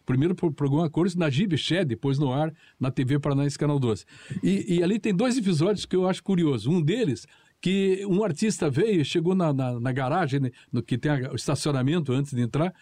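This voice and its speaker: A man's voice: on the high side, fairly quick, mellow, no echo. A man telling anecdotes as a guest on a talk show. He often restarts his words while recalling. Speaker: guest, former colleague and juror.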